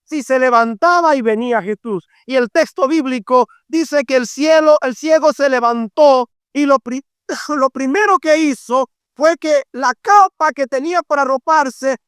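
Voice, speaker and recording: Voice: high voice, fairly bright tone, dry recording, clean studio sound. A middle-aged preacher with a Dominican accent delivering a fervent evangelistic sermon, emphatic and repetitive, drawing out key phrases. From Dominican Republic.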